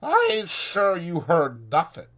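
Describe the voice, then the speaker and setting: Man voicing a spiteful, menacing ranch wife, pitched up. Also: wildly swooping pitch, slow pace, a bit bright, heavily acted. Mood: cool, spiteful. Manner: threatening, contemptuous, rural American dialect. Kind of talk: dramatized audiobook.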